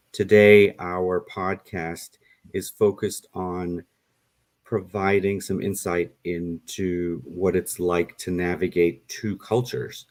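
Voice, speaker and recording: Man, podcast host, very deep voice, unhurried, measured, occasional fillers. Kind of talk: podcast interview hosting.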